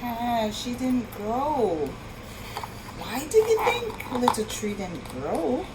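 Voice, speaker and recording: Slow, very animated woman reading to preschoolers. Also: fairly high pitch, background noise. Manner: expressive, sing-song, pausing to ask young listeners questions. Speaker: children's storytime reader and teacher. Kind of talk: read-aloud story for preschool children.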